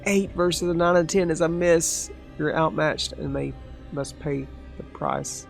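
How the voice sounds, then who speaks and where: A man playing a solo tabletop game aloud, reading rolls and rules and reacting with excited asides. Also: a little high, measured pace, gravelly, soft background music. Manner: talks through dice rolls, excitable asides. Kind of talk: solo actual play, thinking aloud.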